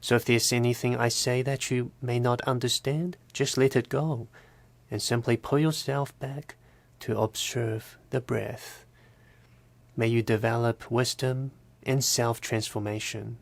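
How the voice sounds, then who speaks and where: A man guiding a meditation, giving measured instructions in short phrases with deliberate pauses. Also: medium pitch, fairly even intonation, gravelly, no room sound. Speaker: meditation guide. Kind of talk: guided meditation narration.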